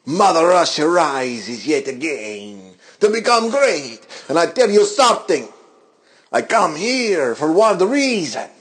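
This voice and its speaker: A man's voice: on the high side, sing-song, slow, a bit bright, breathy edge, full character voice. A man voicing a boastful Russian heel wrestler, cutting a menacing promo in a put-on accent with broken English.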